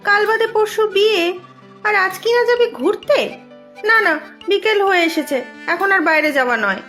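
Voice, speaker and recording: A bossy cartoon mother acted by a woman scolds and gives orders in an extremely high-pitched voice. She is lively and exasperated, with faint music behind her. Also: moderate pace. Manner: scolding, exclaiming, ordering others about. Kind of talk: cartoon voice acting.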